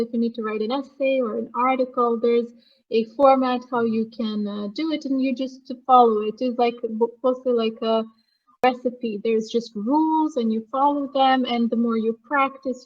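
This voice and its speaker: A woman from Serbia with a Serbian accent, chatting casually as an English tutor on an online call. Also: fairly high pitch. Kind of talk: casual conversational chat. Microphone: online video call. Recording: muffled, lo-fi online call audio.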